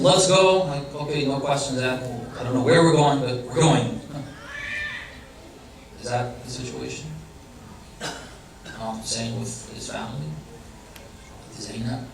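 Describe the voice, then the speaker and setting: A man gives a religious lecture in a conversational way, often asking his listeners questions. His voice sits a little high. It is heard from some distance across a hall with a heavy echo and a faint noise underneath. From Iranian family background. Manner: conversational, asks the audience questions. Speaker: speaker giving a religious lecture. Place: large hall or center.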